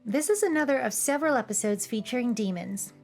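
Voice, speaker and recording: Female video essayist, high voice, cheeky scripted commentary with music underneath. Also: animated, fast. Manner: playful, cheeky asides. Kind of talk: scripted, humorous commentary over cartoon clips. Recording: music behind the voice. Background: background music.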